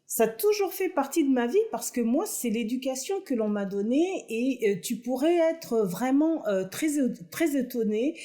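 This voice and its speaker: A woman's voice: a little high, warm tone. A middle-aged woman from France answering interview questions at length, earnest and hesitant with many fillers. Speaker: aeronautics engineer and inventor.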